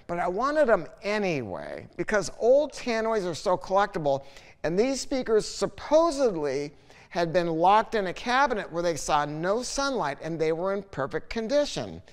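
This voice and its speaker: An older American man in his sixties talks off the cuff with a smooth, resonant voice. He is opinionated and a little salty, wandering through his points like a storyteller. His voice rings with long reverb in a big, high-ceilinged room. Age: 60s. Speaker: audio dealer presenting a speaker review. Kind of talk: casual, unscripted product talk to camera. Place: large living room at home, indoors.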